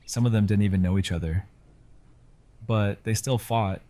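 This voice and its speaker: A man playing a grieving but resolute survivor giving a solemn, halting speech in an audio drama. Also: on the low side, fairly even intonation, dark tone, natural voice. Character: grieving, resolute survivor addressing his community.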